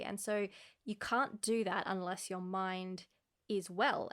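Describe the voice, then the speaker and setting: Woman cohost on a science podcast, medium pitch, friendly and careful. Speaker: podcast co-host. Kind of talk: casual podcast chat.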